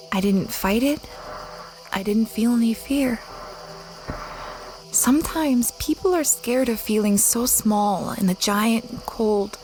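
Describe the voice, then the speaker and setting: A woman as a slow, dreamy space explorer. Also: on the high side, noisy background. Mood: awed, reflective. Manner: reflective, wondering, conversational. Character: Livia Stargaze, dreamy young female space explorer recounting adventures. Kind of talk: first-person audio drama narration.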